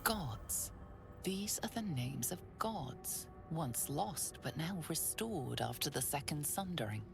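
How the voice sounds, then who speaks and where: An actress playing a solemn, mysterious narrator of a fantasy game, reading in a measured, hushed way. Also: female voice, deep voice, animated, vocal fry, music in the background, exaggerated voice. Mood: grave, hushed. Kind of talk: scripted second-person narration.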